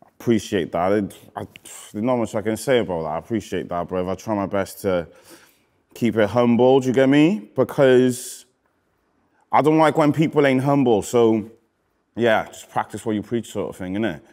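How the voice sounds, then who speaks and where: A man in his thirties from Wales with a Cardiff accent, a rapper casually reading out comments in a relaxed, slangy way that often trails off quietly. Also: lowish voice, moderate pace. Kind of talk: casual chat. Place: indoor studio with graffiti wall.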